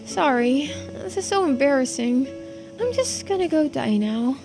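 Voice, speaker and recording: Woman voicing an earnest, high-voiced bookish youngster. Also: animated, a little slow. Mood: embarrassed. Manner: apologetic, eager to help. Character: earnest, self-deprecating, bookish young friend. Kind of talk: video game character voice-over. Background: music.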